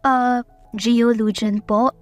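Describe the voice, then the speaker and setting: A woman's voice: on the high side, fairly even intonation, takes it slowly, soft background music. A woman voicing a polite, chatty waitress in an audio drama, deferential as she explains things. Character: polite, chatty young waitress at a resto bar.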